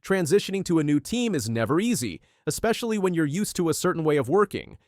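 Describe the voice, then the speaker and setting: Male narrator, higher-pitched and very animated, for dramatic, polished sports news scripts recorded dry. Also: fast, dry recording. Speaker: narrator of motorsport news videos.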